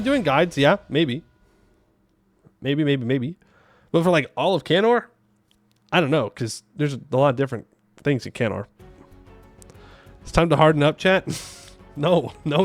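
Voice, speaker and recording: A man hosts a gaming livestream in a fairly high voice that moves up and down with great animation. He jokes casually, repeats himself for effect and replies to viewers as he goes. Faint music plays behind him. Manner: playful, joking, repeats phrases. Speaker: game streamer. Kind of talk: casual livestream chat, reading and answering viewer comments.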